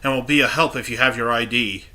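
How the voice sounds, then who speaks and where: Man reading a stiff game class president, formal inspector, congested, very bright. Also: medium pitch, fast talker. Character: stern male student council president, strict and formal.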